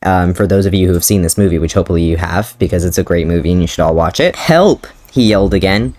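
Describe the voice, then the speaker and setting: A man's voice: low voice, rapid-fire, darkish tone. A man doing a dramatic reading of his old fanfiction, breaking off into amused, self-mocking commentary and laughter.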